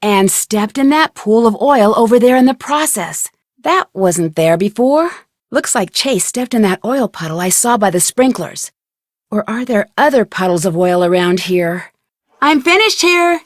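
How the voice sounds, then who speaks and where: A woman's voice: mid-range voice, animated, unhurried, no echo, studio quality. A woman voicing a plucky, curious young female detective in a video game, speaking earnestly and conversationally as if thinking aloud. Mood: curious, puzzled, then cheerful, eager. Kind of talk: scripted video game voice acting.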